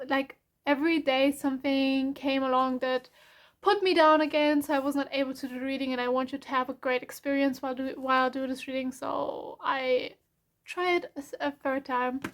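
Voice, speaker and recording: A young adult woman with a German accent, a non-native English speaker, giving a casual tarot reading in a soft, rambling way with many fillers and self-corrections. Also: high voice. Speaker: tarot reader.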